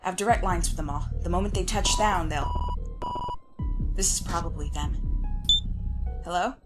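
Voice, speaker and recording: Over prominent music, a woman plays a federal agent with a fairly low voice. She is firm and assured, the one in charge of her team in an audio drama. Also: moderate pace, lightly acted. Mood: brisk. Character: adult female federal agent, commanding, firm team leader. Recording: music bed under the voice.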